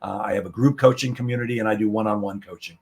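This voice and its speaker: A man, a guest comedian and sales coach, talking in relaxed interview chat with many pauses and fillers. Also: fairly low pitch.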